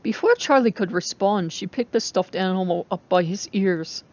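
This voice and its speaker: A woman narrates a story in a dramatic reading style. Her voice sits at a medium pitch for a woman, and the recording is a little dull and lo-fi. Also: moderate pace, darkish tone.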